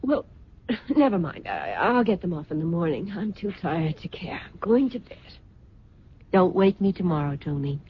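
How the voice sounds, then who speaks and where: Fretful glamorous socialite, muffled vintage radio. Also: female voice, on the low side, big pitch swings, normal speed. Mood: languid, weary. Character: vain glamorous socialite wife and mother, increasingly fretful. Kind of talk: old-time radio drama acting. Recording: muffled, noisy old radio recording.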